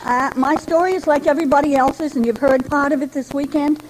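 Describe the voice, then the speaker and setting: An older woman from Rhode Island tells her personal recovery story to a meeting in a chatty, folksy way with gentle humor. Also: high-pitched, steady tone, brisk, slight background noise, poor audio. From Rhode Island, New England. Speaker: Al-Anon member giving a talk. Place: speaking to a gathering.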